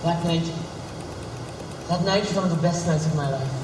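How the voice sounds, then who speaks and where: A man's voice: a little high, normal speed, background noise, close to an everyday voice. Actor as cocky, teasing man in echoey hall. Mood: insistent. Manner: teasing, insistent. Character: Jack, cocky, teasing, flirtatious male academic. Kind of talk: stage play dialogue. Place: stage in a reverberant hall. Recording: echoey hall, distant mic, background music.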